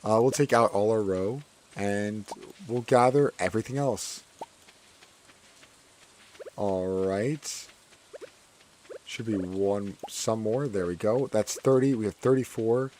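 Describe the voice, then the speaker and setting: A hoarse man with a fairly low voice talks his way through a farming game, his words cracking now and then. He chats loosely and says many lines twice. Faint noise sits under his voice. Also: takes it slowly, pauses often, a little mellow. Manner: chatty, repeats his own phrases. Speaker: gaming streamer. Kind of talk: casual gameplay commentary.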